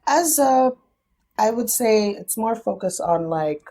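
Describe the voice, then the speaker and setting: A woman's voice: fairly high pitch, lively intonation, takes it slowly, warm tone. A young adult woman and business founder, a podcast guest, explaining things step by step in a thoughtful, casual way. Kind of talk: casual interview.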